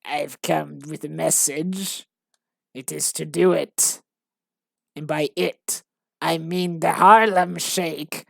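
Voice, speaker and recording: A woman voicing an evil old male space emperor with a raspy, slow, drawn-out delivery. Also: low voice, steady tone, fairly bright tone, dry recording, studio quality, heavily acted. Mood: gloating.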